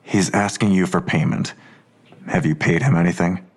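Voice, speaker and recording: A skeptical investigator in a fiction podcast. His voice is deep, and he talks with weary, sardonic dismissal in an understated, natural delivery. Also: fairly even intonation, moderate pace, slightly rough. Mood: incredulous, skeptical. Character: Blossom, weary skeptical male investigator, a father. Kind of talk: naturalistic audio drama acting.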